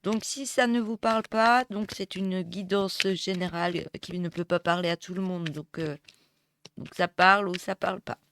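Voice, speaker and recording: A woman with a cold and a hoarse voice, giving a casual tarot reading with many hesitations and restarts. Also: fairly low pitch, fairly even intonation, normal speed, fairly bright tone, a little hiss or hum. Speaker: tarot reader. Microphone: close mic. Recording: dry close mic.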